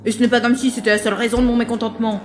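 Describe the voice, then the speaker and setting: A woman's voice: on the high side, little pitch movement, racing, faint music behind, natural voice. An actress playing a stern, disapproving boss in an audio drama, formal and reproachful in her wording. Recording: roomy echo on the voice.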